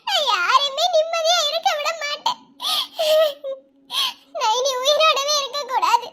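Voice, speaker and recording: A woman's voice: extremely high pitch, very noisy, voice pushed up. A woman voicing a tearful young wife grieving for her brother, speaking through sobs. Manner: sobbing, pleading. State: crying.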